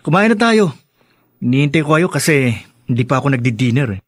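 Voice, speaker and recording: An actor playing a dutiful, sensible young Filipino son in a radio drama, earnest and gently chiding. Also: male voice, mid-range voice, sing-song, fairly quick, no room sound, clean studio sound. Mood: concerned, chiding. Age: young adult.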